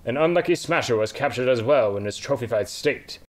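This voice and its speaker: A man's voice: mid-range voice, animated, a little fast. A man reads the narration of a fan-made adventure in a mid-range voice. His delivery is dramatic and storybook-like, and it carries the scene between the characters. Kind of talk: scripted narration.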